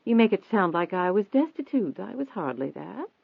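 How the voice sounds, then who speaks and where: A man's voice: high-pitched, very animated, normal speed, creaky, slightly rough, no echo, voice pushed up, full character voice. A man voicing a prim, nervous, proud rich woman in an audiobook, formal and defensive, with an anxious edge. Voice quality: trembling, anxious. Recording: thin phone-like band-limited audio.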